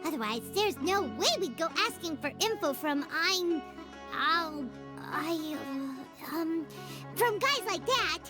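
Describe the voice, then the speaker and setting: A woman voicing a tiny, excitable, cheeky fairy companion in a video game, with a squeaky childlike voice. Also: heavily acted. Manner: bubbly, exclamatory, refers to herself by name. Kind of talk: English video game voice acting. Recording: studio voice under game music. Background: game background music.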